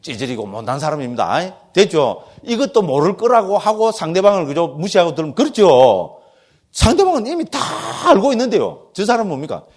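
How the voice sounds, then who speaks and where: Gyeongsang-accented monk teaching with a higher voice. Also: male voice, brisk. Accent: Gyeongsang Korean. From Gyeongsang region, Korea. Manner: keeps asking the audience for agreement, lively and teasing. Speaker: monk lecturer. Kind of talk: Buddhist doctrine lecture.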